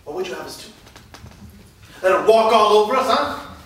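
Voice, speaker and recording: A man's voice: high-pitched, animated, moderate pace, fairly bright tone. A man playing a stern, domineering boyfriend of a teenager's mother in a staged reading, in a very echoey room. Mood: angry. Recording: very echoey room, distant mic.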